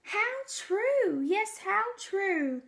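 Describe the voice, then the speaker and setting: Woman performing eager kangaroo, storybook, high voice, emphatic, protective. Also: big pitch swings, very slow, creaky, heavily acted. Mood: eager, won over. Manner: exclamatory, emphatic. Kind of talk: storybook read-aloud.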